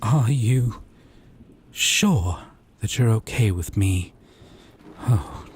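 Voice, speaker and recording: Gentle yandere boyfriend, acted by a man: low, very slow, intimate, possessive, rain behind. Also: slight background noise. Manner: slow, halting, tender, breathy pauses. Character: gentle, obsessive, possessive yandere lover from a dating sim. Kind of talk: intimate romantic audio roleplay. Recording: rain and thunder ambience behind. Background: rain, thunderstorm.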